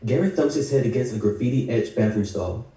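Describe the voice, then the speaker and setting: A man's voice: medium pitch, expressive, a little fast, mellow. A man narrating scripted stage directions for a table read. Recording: echoey room, distant video call mic.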